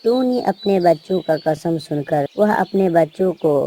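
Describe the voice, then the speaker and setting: A woman's voice: lowish voice, lively intonation, measured pace, mellow, slight background noise. A woman narrating a scripted story for children.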